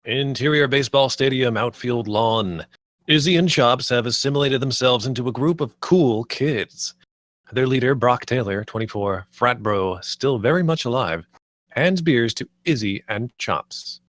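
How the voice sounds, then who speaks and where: A man's voice: medium pitch, measured pace, fairly bright tone, no echo, clean studio sound. A man reading stage directions as the narrator at a screenplay table read.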